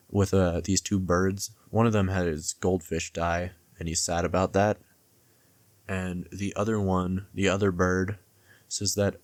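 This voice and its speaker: Man, deep voice, little pitch movement, casual commentator, hesitant, rambling, self-correcting, dry sound. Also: no room sound. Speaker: video essayist reviewing and theorizing about a horror series. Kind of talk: casual, loosely scripted commentary.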